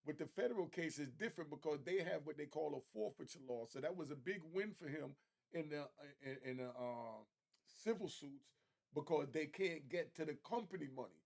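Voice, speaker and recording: Male reaction commentator, slightly high and creaky voice, casual streetwise slang. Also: animated, fairly quick, darkish tone. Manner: slangy, streetwise, explaining in plain terms.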